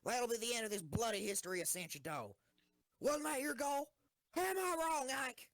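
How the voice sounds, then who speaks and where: A woman's voice: fairly high pitch, big pitch swings, normal speed, dry recording, studio quality. Woman playing a ruthless young tank commander. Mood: defiant. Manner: commanding, intense. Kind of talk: fan audio drama acting.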